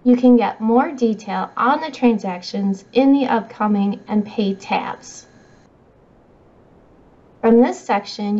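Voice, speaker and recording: A middle-aged woman reads scripted tutorial narration in a measured, instructional way. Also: a little high, warm tone. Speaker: software trainer. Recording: slightly dull, muffled audio.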